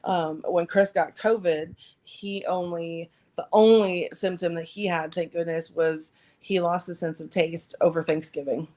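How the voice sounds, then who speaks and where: Slightly raspy woman chatting casually. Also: fairly low pitch, fairly even intonation, measured pace, hardly any pauses, darkish tone. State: slightly raspy after anesthesia. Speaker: podcast co-host. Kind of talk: casual chat.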